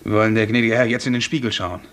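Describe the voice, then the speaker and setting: A proud serf barber with a lowish voice, played by a man in old radio drama style. He speaks politely and with restraint, composed and quietly defiant beneath the deference. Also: steady tone, fast, noisy background, natural voice. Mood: deferential. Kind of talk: 1950s German radio drama acting.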